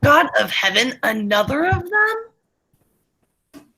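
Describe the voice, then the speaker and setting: A woman reading the part of a brusque, impatient gentleman professor in a stage play, blunt, offhand and theatrical. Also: sits high, very animated, slow, pitched above the performer's own voice, exaggerated voice. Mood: irritated.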